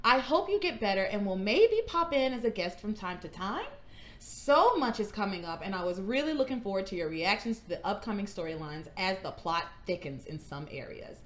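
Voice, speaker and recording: A woman's voice: expressive, fairly quick, a bit bright. A woman co-hosting a podcast, chatting casually and reading listener emails aloud. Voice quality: high singing range. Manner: reads emails aloud, breaks into giggles. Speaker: podcast co-host.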